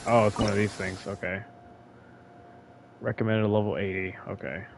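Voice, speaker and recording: A man's voice: fairly low pitch, level tone, moderate pace. Casual man commenting on a game, faint noise. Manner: offhand, muttering to himself. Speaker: gamer playing through a story quest.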